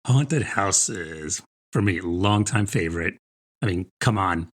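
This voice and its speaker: Middle-aged American man, low and slightly husky. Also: slow. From New Jersey, United States. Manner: informal, chatty, peppered with rhetorical "right?" and "I mean". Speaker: podcast co-host. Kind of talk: casual conversational book chat.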